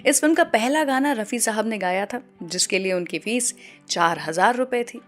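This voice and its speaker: A woman hosting a radio show, telling scripted anecdotes between songs in an animated storytelling way. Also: fairly high pitch, moderate pace. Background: music behind the voice.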